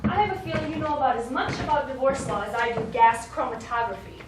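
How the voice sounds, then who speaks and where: Woman acting a high-voiced scheming wife, echoey stage. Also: fast, distant mic, natural voice. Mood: dry, discontented. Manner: coy, sardonic, pointed. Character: shrewd, teasing, discontented rich man's wife. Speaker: stage actor. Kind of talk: live stage comedy acting. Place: small theatre stage, distant microphone. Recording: echoey, noisy stage recording from afar.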